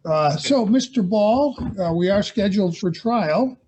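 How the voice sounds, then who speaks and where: Man, judge, video call hearing, high pitch, hesitant with fillers. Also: expressive, dark tone, slightly rough. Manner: measured, hesitant with many fillers.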